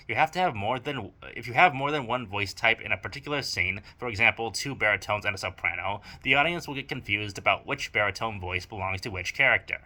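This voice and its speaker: A man's voice: fairly low pitch, sharp tone. A man narrating a scripted video essay as a writer and producer, emphatic and opinionated, with formal phrasing. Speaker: audio drama writer and producer presenting a video.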